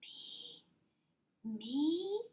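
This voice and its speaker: Woman as a tiny, high, shy possum. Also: sing-song, drawn-out words, muffled recording, full character voice. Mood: shy, whispery. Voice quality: breathy, whispery. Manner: hesitant, soft, meek. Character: shy, timid, polite little female possum. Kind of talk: animated storybook read-aloud.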